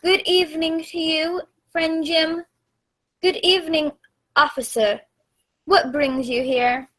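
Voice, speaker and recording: A composed gentleman doctor acted by a woman in a radio drama, with a high voice and slow, measured delivery. The manner is formal, polite and inquisitive. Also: steady tone, exaggerated voice, rough recording. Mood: courteous.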